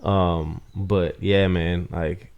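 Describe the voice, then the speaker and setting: A man with a very deep, gravelly voice chatting on a podcast. His talk is loose and slangy, easygoing and unhurried. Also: level tone, darkish tone, highs cut off. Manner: laid-back slangy chat. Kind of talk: casual conversation.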